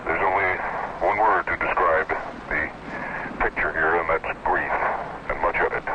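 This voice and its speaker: A man playing a grave radio reporter, halting and solemn, delivering breaking news on a dull, noisy old broadcast. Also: low voice, steady tone. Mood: somber, shaken. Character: grave male radio news reporter, shaken but composed.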